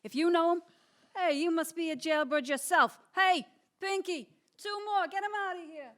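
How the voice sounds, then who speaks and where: A woman playing a tough, surly bartender in a radio drama, gruff, slangy and impatient. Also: extremely high pitch, moderate pace. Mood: scornful. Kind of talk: old-time radio drama acting.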